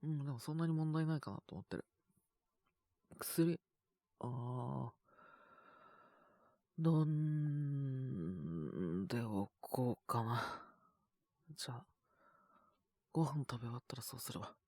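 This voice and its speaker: A man with a sore, scratchy throat and a cough, chatting casually and intimately in soft, hesitant murmurs as if to someone beside him. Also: medium pitch, slow, pauses often, dry recording, studio quality. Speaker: voice actor making situation-voice videos. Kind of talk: intimate casual chat to an unheard partner.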